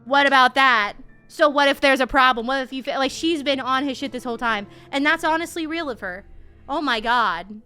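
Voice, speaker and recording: Bright, high-voiced sarcastic woman streamer. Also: a little fast, clean tone, faint music behind. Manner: expressive, sarcastic, slangy, sweary. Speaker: VTuber streamer. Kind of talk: casual game reaction commentary.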